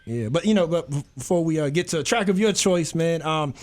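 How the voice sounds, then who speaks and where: A male talk-show host leads the conversation in a loose, rambling way, full of restarts. He has a somewhat raised pitch and an upbeat tone. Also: expressive, fairly quick, faint music behind. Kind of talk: casual talk show hosting.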